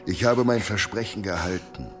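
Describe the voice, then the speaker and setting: A man's voice: fairly low pitch, normal speed, natural voice. A man playing a cold, domineering demonic beast in human form, commanding and menacing, in an audio drama. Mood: cold, insistent. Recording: music under the voice.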